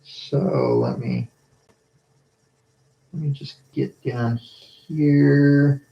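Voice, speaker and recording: A man with an average-pitched voice talks slowly and casually to his stream viewers as a storm spotter. He speaks haltingly, often repeating himself, and the audio is a bit dull and lo-fi. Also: wildly swooping pitch, vocal fry. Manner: halting, repeats phrases, addresses viewers as guys. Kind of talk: casual live weather stream commentary.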